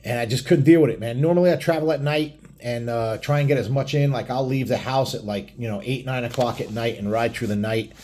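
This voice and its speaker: A man's voice: mid-range voice, fairly quick, hardly any pauses, a little mellow. Foul-mouthed middle-aged man telling biker stories. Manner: crude, heavily profane, blunt. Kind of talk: casual rambling storytelling.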